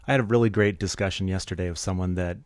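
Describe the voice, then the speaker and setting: Male co-host, very low voice, casual and easygoing, recorded close and dry. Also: fairly even intonation, fast, no echo. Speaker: podcast co-host. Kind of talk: casual chat.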